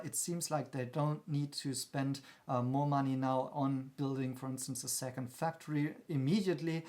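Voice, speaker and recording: A man's voice: mid-range voice, darkish tone. A man hosting an investment channel, giving analytical commentary in an explanatory manner with frequent hesitations. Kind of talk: analytical commentary on company earnings.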